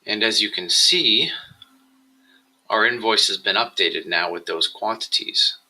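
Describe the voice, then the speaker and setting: A man with a lowish voice and a very bright, forward tone narrates an instructional screencast. He explains things step by step in a measured way, as a presenter guiding viewers through software. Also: low-quality recording.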